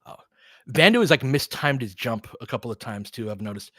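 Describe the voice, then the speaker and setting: A man's voice: medium pitch, animated, brisk, bright, forceful tone, no echo, studio quality. A man hosting a live basketball stream, giving casual, analytical commentary and chatting with viewers in between short reactions to the play.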